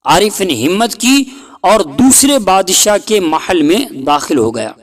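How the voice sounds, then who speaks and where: A man narrating a scripted folk tale in a deliberate, dramatic storytelling manner. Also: high-pitched, sing-song.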